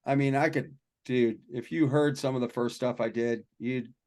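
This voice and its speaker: A man, a rock and metal singer, telling stories from his life in a relaxed, rambling, self-deprecating way during a podcast interview. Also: mid-range voice, moderate pace. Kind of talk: casual interview storytelling.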